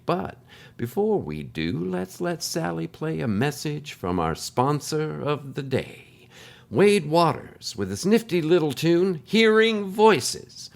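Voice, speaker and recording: Man acting as folksy male podcast host, theatrical, chatty, swooping intonation, medium pitch, scripted comedy. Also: creaky.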